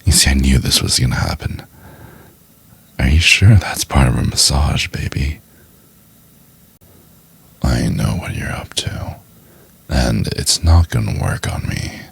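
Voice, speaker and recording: A man playing a doting, teasing boyfriend in an intimate ASMR roleplay, murmuring in a husky, halting half-whisper. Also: extremely low pitch, lively intonation, full character voice. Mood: flustered, resisting. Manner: slow, halting, murmured delivery with pauses. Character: doting, teasing boyfriend trying to study for exam.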